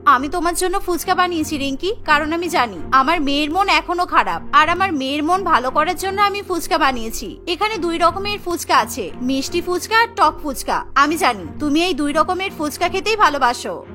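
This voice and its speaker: Woman playing an earnest, high-voiced cartoon mother. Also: expressive, fairly quick, pushed and bright, soft background music. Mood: coaxing. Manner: earnest, coaxing. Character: gentle, pleading mother coaxing her upset daughter.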